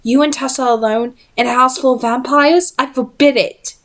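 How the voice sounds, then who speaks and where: A woman's voice: high-pitched, steady tone, normal speed, voice pushed up. A woman voicing a cautious, protective leader of demon hunters in a storybook, reluctant and firm. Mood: alarmed, forbidding.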